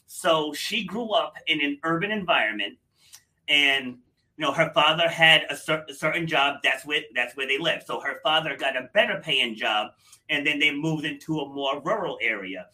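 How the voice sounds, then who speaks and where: A man's voice: on the high side, moderate pace, sharp tone, low-quality recording. A man hosting a podcast panel, closing the talk with emphatic, repetitive points about sharing stories. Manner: emphatic, repeats phrases for effect. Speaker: podcast host. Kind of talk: panel discussion wrap-up.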